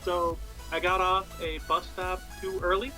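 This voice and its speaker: A man's voice: sits high, flat delivery, unhurried, pushed and bright. A young American man telling a personal story casually, in a rambling, self-deprecating way. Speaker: podcast storyteller. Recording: background music throughout. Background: music.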